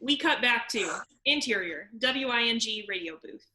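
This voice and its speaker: Bright-voiced woman narrator in a roomy space. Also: fairly high pitch, expressive, normal speed, a little hiss or hum. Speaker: narrator reading stage directions. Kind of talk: scripted narration for comedy table read. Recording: roomy echo.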